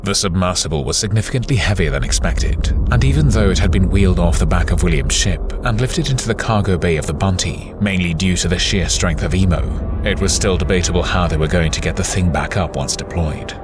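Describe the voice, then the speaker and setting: A man's voice: low voice, lively intonation, brisk, few pauses, music in the background. A man reading scripted narration for a science fiction audio adventure.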